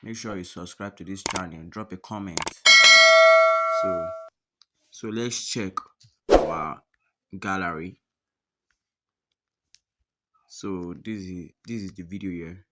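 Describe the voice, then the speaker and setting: A man's voice: low-pitched, fairly even intonation, slow pace, long pauses, heavy background noise. A man narrating a step-by-step app tutorial, often repeating his phrases and instructions. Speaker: tutorial host.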